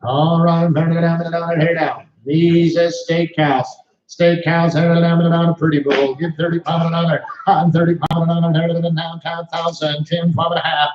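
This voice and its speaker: A man working as a livestock auctioneer, calling bids in a rapid rhythmic chant. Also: fairly high pitch, flat delivery, darkish tone, reverberant, muffled recording. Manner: rhythmic repetitive bid calling. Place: cattle sale ring.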